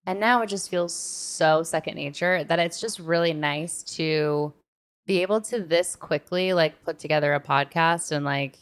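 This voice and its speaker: A woman talks casually as a podcast co-host, her voice sitting slightly on the low side. She is informal and slangy, working through her thoughts out loud in everyday conversational language. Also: normal speed, clean tone. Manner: informal, slangy, thinking aloud.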